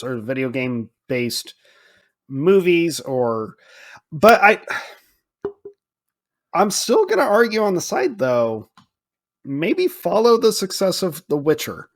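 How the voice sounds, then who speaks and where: A man with a voice pitched a little above average talks as a podcast co-host. He speaks in a casual, conversational way, musing out loud as he works through his thoughts. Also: very animated, takes it slowly.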